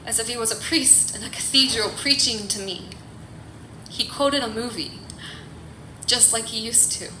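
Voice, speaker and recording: A young woman performing spoken-word poetry live in an earnest, emotive, measured way. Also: fairly high pitch. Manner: earnest, emotive, measured phrasing that rises from hushed to forceful. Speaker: slam poet. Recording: echoey, noisy live room.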